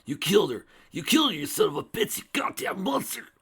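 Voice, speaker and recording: A man voicing a furious young male dragon who shouts and screeches threats at a villain. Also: on the low side, animated, moderate pace. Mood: enraged.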